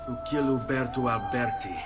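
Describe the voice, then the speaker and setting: A man's voice: mid-range voice, moderate pace, music in the background, close to an everyday voice. An actor playing a proud, vengeful young Italian nobleman with an Italian accent. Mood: grim, curt.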